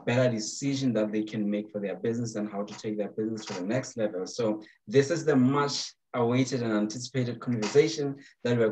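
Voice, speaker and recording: A man's voice: medium pitch, darkish tone, slight background noise, poor audio. A young man, hosting an online talk show over a video call and speaking fluently. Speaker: host. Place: home room, video call. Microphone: webcam on a video call.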